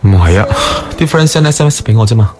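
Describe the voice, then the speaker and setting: Actor playing distracted guy dodging questions, soft dark lowish voice, low-key, music faintly behind, radio drama. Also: expressive, fast talker, lightly acted. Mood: evasive, casual. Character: evasive, distracted male companion at dinner.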